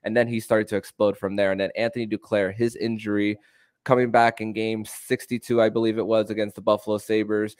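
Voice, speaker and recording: Male, sports podcast host, smooth clear tone, halting delivery, very dry close sound. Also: on the low side, level tone, a little mellow, dry recording.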